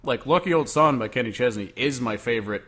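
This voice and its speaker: Young man, podcast co-host, casual rambling chat, lots of filler words, mid pitch. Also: lively intonation, fast, a bit bright.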